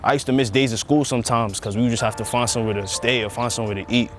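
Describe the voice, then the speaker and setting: A young man with a New York accent, a high school football player talking in a documentary interview in a laid-back, slangy way. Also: steady tone, very fast. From Queens, New York. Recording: loud background music.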